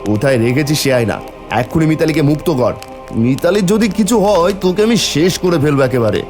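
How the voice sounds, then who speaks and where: A man's voice: fairly high pitch, animated, quick pace, over music, natural voice. A man playing a suspicious, hot-tempered village husband in a folk-tale cartoon, with a dramatic, emphatic delivery. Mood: furious.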